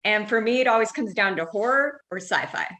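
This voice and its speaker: A woman's voice: medium pitch, animated, brisk, a bit bright. A woman giving her opinion casually as a podcast guest.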